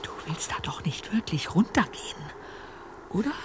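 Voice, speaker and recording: A man's voice: high-pitched, big pitch swings, normal speed, noisy background. An actor playing a cautious, reluctant teenage boy detective in an audio drama, urgent and cautioning. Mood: anxious whisper. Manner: urgent, cautioning, often whispering. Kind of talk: German audio drama acting.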